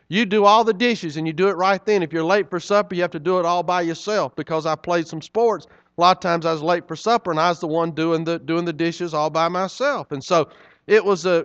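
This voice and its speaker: A man's voice: sits high, no echo, dull audio. A middle-aged man, preaching a sermon in a folksy, conversational way with frequent asides to the congregation. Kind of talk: sermon storytelling.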